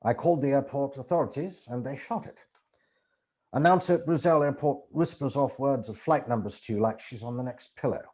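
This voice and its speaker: A man reading a book aloud to camera in a theatrical, expressive way, like an amateur voice actor. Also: mid-range voice, fairly quick, breathy edge.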